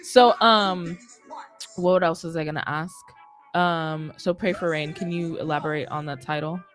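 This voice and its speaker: A young woman with a fairly low voice hosts a casual interview on a close studio mic. She talks informally, and music plays behind her. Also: fairly even intonation, unhurried. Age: young adult. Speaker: radio show host interviewing an artist. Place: small indoor radio studio. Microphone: close studio mic on boom arm, headphones. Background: music playing.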